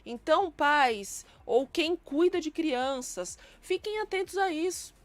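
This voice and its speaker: A woman's voice: high-pitched, lively intonation, slow pace, no echo. A woman, a guest psychologist, explaining earnestly and stressing her points with emphatic lists. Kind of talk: explanatory panel talk.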